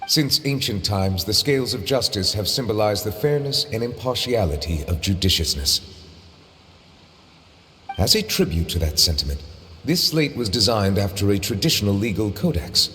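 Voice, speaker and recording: An actor playing a dignified, reserved chief judge in a video game, speaking in a measured, precise, formal manner. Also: male voice, on the low side, expressive, fairly bright tone, big-room sound, a little hiss or hum. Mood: earnest, courteous.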